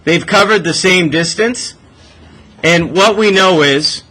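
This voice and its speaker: Bright-voiced man teaching a math class. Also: sits high, slow pace. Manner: asks frequent checking questions. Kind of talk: classroom lesson, explaining step by step.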